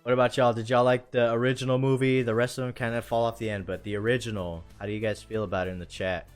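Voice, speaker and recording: A man's voice: medium pitch, flat delivery, fast, clear, resonant tone. A man hosting a live stream in casual chat, enthusiastic and slangy, with music behind him.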